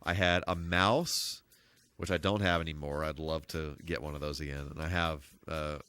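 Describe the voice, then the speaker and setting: A man streaming a game and chatting casually, thinking aloud in a rambling, halting way with folksy, mild exclamations. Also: a bass voice, steady tone, bright, forceful tone, smooth. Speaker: live streamer, host. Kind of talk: casual gameplay live stream chat.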